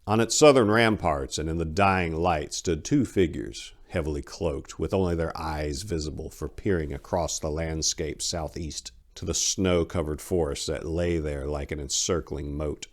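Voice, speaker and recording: Deep-voiced man, theatrical narrator. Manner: theatrical, deliberate. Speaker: channel host and story narrator. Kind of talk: dramatic scripted narration.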